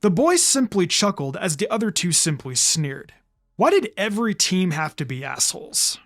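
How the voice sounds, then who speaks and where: Animated male story narrator. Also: sits high, moderate pace. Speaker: fan fiction reader.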